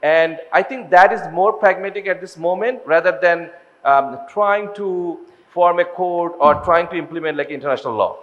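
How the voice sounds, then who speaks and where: A man from Bangladesh speaking as a panelist, explaining his points in a measured way with frequent "you know" fillers. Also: high-pitched, a bit bright, dull audio. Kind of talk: panel discussion answer. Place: large ballroom. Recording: long hall reverb.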